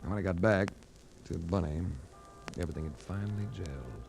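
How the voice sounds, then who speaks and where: An actor playing a cool, unsentimental private investigator in a 1940s radio drama, with a clipped, sardonic, accusing way of talking. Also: male voice, low voice, expressive, brisk, creaky, a little mellow. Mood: wry narration. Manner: clipped, sardonic, hard-boiled. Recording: noisy vintage 1940s radio recording.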